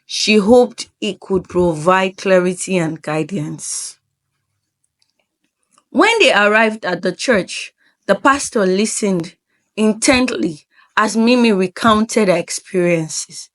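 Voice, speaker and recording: A woman's voice: mid-range voice, takes it slowly. A woman narrating a scripted folktale in a solemn, dramatic storyteller's manner.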